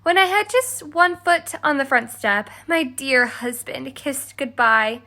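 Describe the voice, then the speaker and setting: A woman's voice: high-pitched, takes it slowly, bright, forceful tone, heavily acted. A woman reading a children's storybook as a haughty, exasperated stepmother who tells her side with dramatic emphasis. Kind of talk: scripted children's storybook read-aloud.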